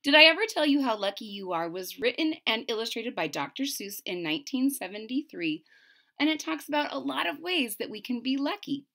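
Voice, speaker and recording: A woman's voice: on the high side, animated, fairly quick, vocal fry, pushed and bright. A woman in her forties reading a children's picture book aloud expressively, stressing key words theatrically and adding casual asides. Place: small room at home.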